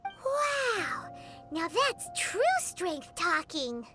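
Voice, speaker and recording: A tiny fairy sidekick from an anime game, extremely high and squeaky. She is excitable and childlike, swooping perkily through her lines over loud game music. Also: extremely slow, heavily acted. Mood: amazed. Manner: perky, speaks of self in third person. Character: small excitable floating fairy companion, childlike girl. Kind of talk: anime game character acting.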